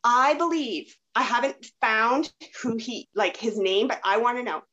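A woman in a casual podcast conversation, often halting as she searches for words. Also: on the high side, fairly bright tone, lo-fi sound. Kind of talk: casual podcast chat about radio dramas.

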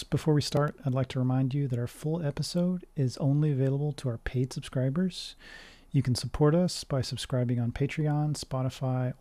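A man's voice: on the high side, dark tone, no echo. A man co-hosting a tech podcast, reading a sign-up reminder and introducing the guest with enthusiasm. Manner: enthusiastic, friendly. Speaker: podcast co-host. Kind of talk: casual podcast chat and read promo.

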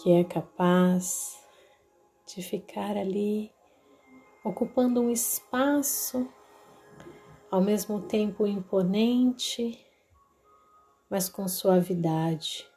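A woman with a Brazilian accent narrating a guided meditation in a soothing, deliberate way, leaving long pauses. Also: mid-range voice, mellow. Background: background music.

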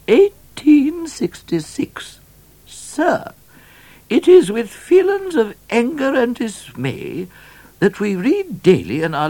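A man's voice: high-pitched, a little mellow, dry recording, pitched above the performer's own voice, exaggerated voice. A man voicing Queen Victoria as a haughty, displeased royal woman in a storybook, with a pompous, formal delivery.

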